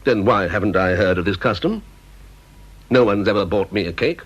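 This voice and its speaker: An actor as a low, husky, stern British boss. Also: male voice, normal speed, natural voice. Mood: curt, stern. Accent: British English. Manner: clipped, formal, terse. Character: stern, curt, exacting scientist boss under strain. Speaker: actor in a radio play. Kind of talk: British radio drama acting. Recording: dull, lo-fi old radio recording.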